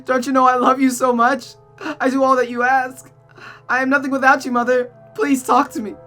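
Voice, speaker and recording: A shrill, unhinged young woman killer. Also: flat delivery, a little fast, fairly bright tone, soft background music. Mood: desperate pleading. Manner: shrill, pleading, tearful, dramatic. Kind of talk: visual novel voice acting.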